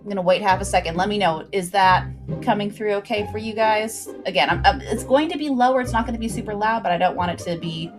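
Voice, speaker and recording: A woman's voice: medium pitch, fast talker. A woman hosting a livestream, chatting informally with viewers and recapping a story, with asides to the chat. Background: background music.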